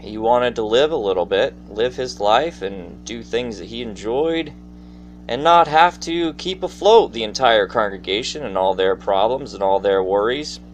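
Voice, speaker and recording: A man's voice: fairly low pitch, moderate pace, rough recording. A man telling personal stories in a casual monologue, addressing his listeners directly in a theatrical, folksy manner. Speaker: storyteller. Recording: faint background noise.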